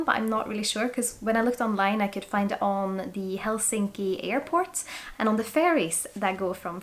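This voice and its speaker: A woman in her twenties from Sweden with a Swedish accent, chatting excitedly and thinking aloud as she reviews a whisky. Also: a little high. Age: 20s. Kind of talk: casual tasting review.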